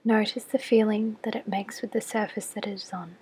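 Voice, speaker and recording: A woman leads a guided body scan meditation in a soft, breathy near-whisper, giving gentle, measured instructions with long pauses. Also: mid-range voice, fairly even intonation. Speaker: clinical psychologist leading a guided meditation.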